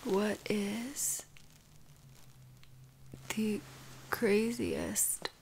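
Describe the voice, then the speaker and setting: A woman acting as a sick, sleepy girlfriend in an intimate audio roleplay. She talks very slowly and softly, with a crackly fry in her voice, halting and clingy as she seeks comfort. Some noise sits behind her voice. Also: medium pitch, animated, full character voice. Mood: sleepy, musing. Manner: soft, drowsy, halting, often whispery.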